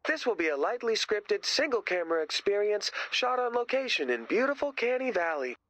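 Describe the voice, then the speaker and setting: A cocky rock drummer from a video game, fronting his own show. His pitch swings widely as he promotes himself with grandiose, dramatic showmanship. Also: very animated, brisk, fairly bright tone, dry recording. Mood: boastful. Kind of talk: video game voice acting as a showy TV presenter.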